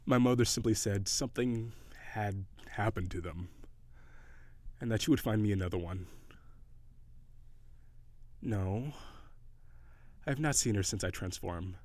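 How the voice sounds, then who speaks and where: Man playing a haughty, low-voiced sea-monster prince. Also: measured pace, pauses often, a little mellow, dry recording, close to an everyday voice. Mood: guarded, softening. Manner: formal, archaic, prissy diction. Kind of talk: audio roleplay acting.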